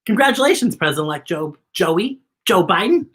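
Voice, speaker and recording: An American woman hosting a children's story time, reading aloud and chatting in an expressive, encouraging way straight to young viewers. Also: on the low side, a little fast, slightly rough. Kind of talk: live read-aloud with chatty commentary.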